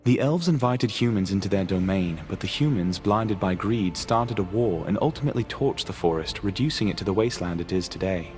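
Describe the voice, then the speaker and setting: A man's voice: deep voice, lively intonation, a little fast, few pauses, darkish tone. A man playing a solemn storyteller, narrating fantasy lore for a video game. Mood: solemn, measured. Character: solemn storyteller recounting a fantasy land's history. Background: faint music.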